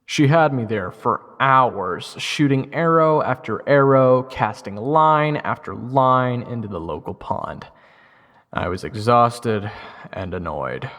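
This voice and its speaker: A man's voice: mid-range voice, fairly bright tone, big-room sound. A young man narrating his own story in first person, wry and self-deprecating. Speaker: narrator and lead.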